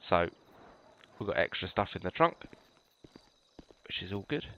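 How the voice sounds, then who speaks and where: A man's voice: deep voice, big pitch swings, moderate pace, muffled recording. A British man with a stuffy head cold, casually walking viewers through police cars in a game, rambling with many ums and restarts. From United Kingdom. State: congested from a cold. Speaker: gamer showing police cars. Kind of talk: casual gameplay walkthrough.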